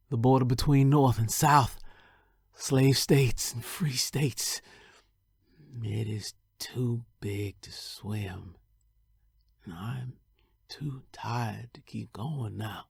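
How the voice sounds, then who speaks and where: A male voice actor playing a young enslaved man fleeing to freedom, weary but determined, in a husky, breathy, heartfelt first-person historical narration. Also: medium pitch, slow, pauses often, a little mellow, no room sound, studio quality, full character voice. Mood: weary, intense. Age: young adult.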